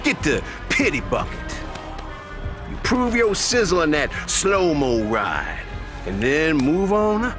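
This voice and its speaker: A man's voice: a little high, sing-song, takes it slowly, over music. An actor playing a flamboyant, food-loving wasteland race promoter in a video game, with a folksy, drawling showman's patter. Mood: gleeful, sly. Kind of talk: theatrical video game character acting.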